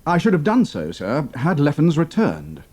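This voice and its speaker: A young British airman, acted out by a male narrator, composed and formally respectful, with a husky edge and a widely moving, animated pitch. He speaks in clipped, earnest military phrases, as in an adventure audiobook. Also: moderate pace. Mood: respectful, aggrieved. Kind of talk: dramatised audiobook character dialogue.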